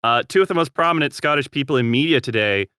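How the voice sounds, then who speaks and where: A young man with a bright, tense voice that swings widely as he makes his points. He talks in an emphatic, mock-outraged way, and the sound is dry and close. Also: mid-range voice, expressive, quick pace, smooth, no room sound. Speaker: podcast co-host. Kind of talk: casual chat.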